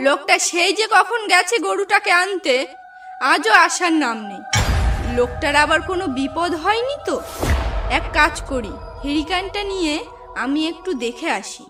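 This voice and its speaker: A woman's voice: very high-pitched, lively intonation, moderate pace, over loud music. A woman playing a worried, stubborn village wife in a horror cartoon. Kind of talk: Bengali cartoon voice acting.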